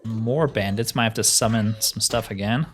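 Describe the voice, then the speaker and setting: A man's voice: fairly low pitch, moderate pace. A man giving casual, wry video game commentary, thinking aloud as he plays. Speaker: gaming video host. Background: game music.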